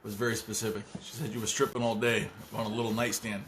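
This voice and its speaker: A man's voice: fairly low pitch, flat delivery, fast talker, a bit bright, a little hiss or hum. A man joking along as co-host of a live painting tutorial, teasing with a dry, playful manner. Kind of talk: casual banter.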